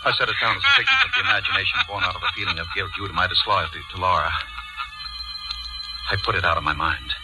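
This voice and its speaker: Deep-voiced guilty husband, old radio. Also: male voice, sing-song, fast, rough recording. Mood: brooding narration. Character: guilt-ridden husband drawn to his wife's nurse, narrating his confession. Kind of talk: 1940s radio drama acting and narration. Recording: vintage 1940s radio recording, music bed.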